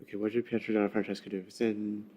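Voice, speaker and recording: A man's voice: on the low side, flat delivery, fast talker, warm tone, slightly rough. A young man from North London, a student contestant, conferring hesitantly with teammates and thinking aloud.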